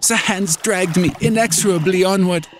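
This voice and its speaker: A man's voice: high voice, normal speed. A man narrating a first-person horror story in a dramatic, scripted storytelling style. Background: music.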